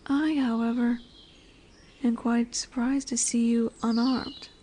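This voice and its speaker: Woman voicing a slow, high-voiced seductive serpent woman. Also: flat delivery, warm tone. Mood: imperious, curious. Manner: slow, hypnotic, coaxing, formal. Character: lamia, large seductive serpent woman, commanding yet soothing. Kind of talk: roleplay audio drama with soft whispered passages. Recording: noisy woodland ambience with birdsong. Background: birdsong and forest ambience.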